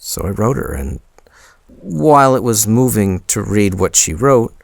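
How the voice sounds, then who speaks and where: Male actor as shy smitten young man, hesitant, stammering, unhurried, gravelly creak, intimate boyfriend roleplay. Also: fairly low pitch, dry recording, full character voice. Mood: awkward, candid.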